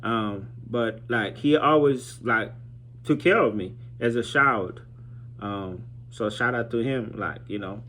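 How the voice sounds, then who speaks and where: Halting man telling his story. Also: on the low side, sharp tone. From Haiti. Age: 30s. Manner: halting, reflective, searching for words. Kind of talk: informal personal storytelling.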